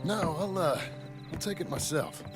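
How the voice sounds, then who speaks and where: An actor playing a gruff, weary American gunslinger turned rancher in a western video game, terse and dry with a raspy voice. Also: male voice, fairly high pitch, normal speed, over music, natural voice. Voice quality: gravelly, raspy.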